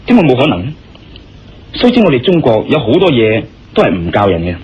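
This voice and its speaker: Dull-sounding Cantonese radio drama hero. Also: male voice, mid-range voice, wildly swooping pitch, quick pace, darkish tone, a little hiss or hum. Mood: proud, triumphant. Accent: Hong Kong Cantonese. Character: injured Chinese male adventurer, confident and persuasive. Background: music.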